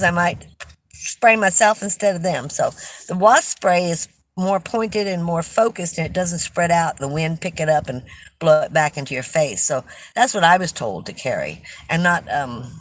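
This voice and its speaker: An older woman from California, hosting a casual livestream, chatting with viewers and telling stories in a loud voice. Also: lowish voice, a little hiss or hum, rough recording. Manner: chatty, warm storytelling with restarts. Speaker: livestream host. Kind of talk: casual livestream chat reading viewer comments.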